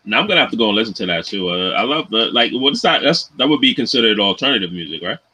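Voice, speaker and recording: A man's voice: on the low side, very animated, fast, pushed and bright, breathy edge, no echo, rough recording. A man co-hosting a podcast, chatting casually and joking, chiming in agreeably with the others. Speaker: podcast co-host.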